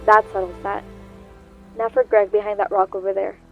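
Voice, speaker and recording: An actress playing a confident, dutiful psionic agent and sister in a sci-fi audio drama, composed and assured. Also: female voice, mid-range voice, little pitch movement, moderate pace, vocal fry, a bit bright, soft background music, rough recording. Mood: cocky.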